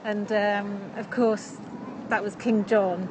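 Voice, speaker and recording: A woman's voice: medium pitch, little pitch movement, slow pace, noisy background. An English woman with a chatty manner, talking casually while walking outdoors by a lake and streaming live from a phone. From England. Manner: chatty, with frequent fillers and laughs. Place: outdoors by a busy lakeside.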